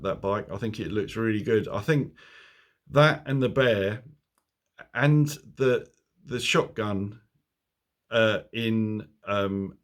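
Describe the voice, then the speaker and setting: Rambling man with sore throat. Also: medium pitch. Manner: rambling, chuckling, self-mocking asides. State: sore throat, losing his voice. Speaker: motorcycle vlogger. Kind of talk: casual chat to camera. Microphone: phone camera.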